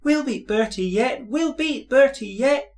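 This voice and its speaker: Man putting on female train coaches, very high pitch, anxious, devoted, sing-song chanting, storybook. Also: lively intonation, measured pace. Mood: eager, singing. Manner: sing-song repeated phrases.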